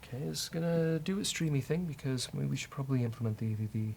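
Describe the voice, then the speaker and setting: Creaky-voiced man thinking aloud while coding. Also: medium pitch, fast talker, darkish tone. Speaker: host, software developer. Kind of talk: casual live-coding talk, thinking aloud. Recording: faint noise, keyboard typing.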